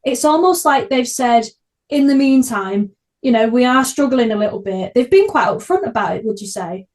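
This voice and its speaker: Woman podcast host, bit higher voice, chatty, informal and keen. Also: lively intonation, moderate pace, a little mellow. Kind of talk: casual podcast interview.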